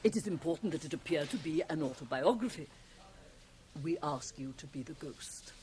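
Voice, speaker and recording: A woman's voice: deep voice, expressive, a little mellow. An actress playing an imperious, shrewd woman who runs a company, formal and persuasive in a radio drama. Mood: businesslike persuasion. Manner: formal, deliberate, coaxing. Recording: hissy 1980 radio cassette transfer.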